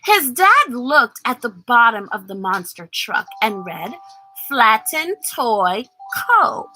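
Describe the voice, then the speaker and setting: A woman reading a picture book aloud to children as their teacher, expressive and exaggerated, coaxing them along with questions. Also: fairly high pitch, measured pace, no echo, soft background music, poor audio.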